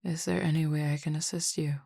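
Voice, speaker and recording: A woman voicing a composed, formal supernatural cupid roommate who speaks in precise, measured words and teases gently. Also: low-pitched, steady tone, creaky, dry recording, studio quality. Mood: calm. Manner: precise, formal wording, measured and unhurried, soft asides. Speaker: voice actor in an audio roleplay. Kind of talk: audio roleplay addressed to the listener.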